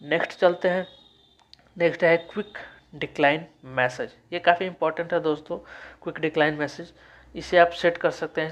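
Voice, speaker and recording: A man's voice: fairly high pitch, fairly bright tone, highs cut off. A man giving a casual, explanatory phone tutorial as its host, addressing viewers informally. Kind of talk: casual phone settings walkthrough.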